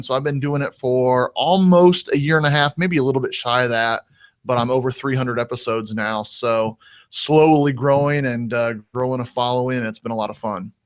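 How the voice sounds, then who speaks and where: A man's voice: medium pitch, dry recording. Earnest, rambling man on a video call. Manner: earnest, rambling, self-correcting. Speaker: podcast guest. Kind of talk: casual roundtable chat.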